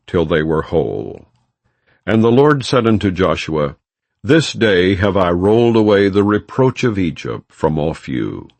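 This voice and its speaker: Male narrator for scripture audiobook: low, gravelly voice, solemn and measured, recorded close and dry. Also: no room sound. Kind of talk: scripted scripture narration, non-dramatized reading.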